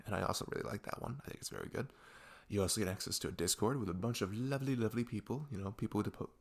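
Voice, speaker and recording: A man giving a casual, rambling creator's intro in a chatty, self-deprecating way. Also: sits low. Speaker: audio roleplay creator introducing a video.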